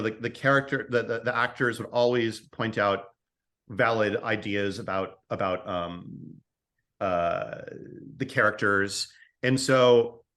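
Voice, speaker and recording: A man's voice: lowish voice, fairly bright tone. A man speaking as an interview guest and writer, casually discussing creative work, often repeating and restarting his phrases.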